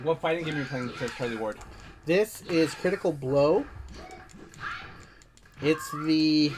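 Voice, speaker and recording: A woman hosting a casual gameplay stream, reading viewer chat aloud, laughing and swearing at the game. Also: very deep voice. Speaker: streamer and show host. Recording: game music playing behind the voice. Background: music.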